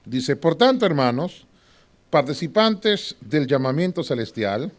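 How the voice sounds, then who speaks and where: A man teaching a Bible class in a preaching style. He often asks the class to agree and moves between loud and hushed delivery. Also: a little high, big pitch swings, measured pace. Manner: swings from loud to hushed, with short laughs.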